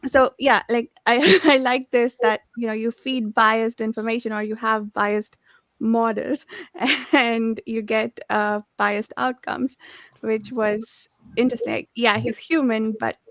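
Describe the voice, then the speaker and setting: A woman's voice: a little high, flat delivery, a little slow, no echo. A woman chatting casually as she explains her view in a book discussion. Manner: chuckles mid-sentence. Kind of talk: casual chat.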